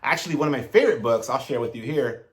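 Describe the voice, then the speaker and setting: Man, thirties, motivational vlogger, earnest, direct, coaching tone, mid-pitched. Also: expressive, fast. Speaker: self-improvement YouTuber. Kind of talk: motivational advice monologue to camera.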